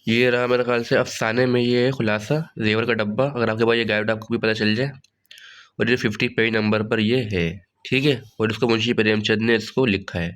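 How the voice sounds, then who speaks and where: A hoarse man with a sore throat walking students through a study guide in a relaxed way, often saying "theek hai". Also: on the low side, brisk. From Pakistan. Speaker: online tutor. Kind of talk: casual study-guide walkthrough.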